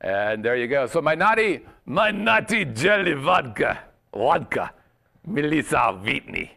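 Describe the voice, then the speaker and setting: A man's voice: a little high, big pitch swings, measured pace, gravelly, bright, forceful tone, voice pushed down, exaggerated voice. A man putting on a silly Russian accent as a boisterous podcast host. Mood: playful. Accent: put-on Russian.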